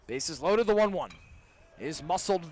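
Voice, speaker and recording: A man's voice: a little high, animated, measured pace, darkish tone. A young man doing live radio play-by-play of a baseball game from a booth with an open window. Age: young adult. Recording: live outdoor broadcast with background noise.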